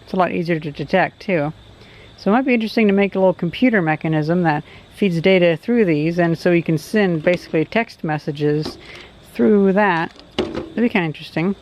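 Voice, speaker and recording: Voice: mid-range voice, brisk, a little mellow. A vlogger gives casual, unscripted commentary outdoors while testing gear, musing and thinking aloud. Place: outdoors along a road. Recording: dull audio, faint background noise.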